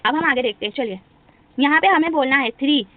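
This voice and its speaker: A woman teaching spoken English, asking quiz questions and answering them herself, and drawing out her English example sentences slowly. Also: high voice, dry recording, narrow, tinny audio. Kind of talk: spoken English lesson for Hindi speakers.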